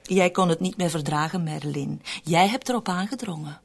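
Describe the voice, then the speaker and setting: A woman's voice: fairly low pitch, normal speed, creaky. An actress playing a scheming, mocking woman in a radio drama, sardonic and coolly in control. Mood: accusing. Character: scheming, mocking woman fond of morbid jokes.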